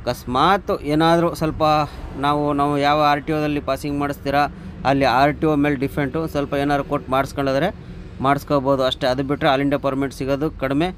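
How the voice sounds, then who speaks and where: A man's voice: on the high side, level tone, fast talker. A man, a truck driver vlogger chatting casually to his viewers and explaining a vehicle, outdoors with faint traffic noise behind. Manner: chatty, addresses viewers as brother and friends.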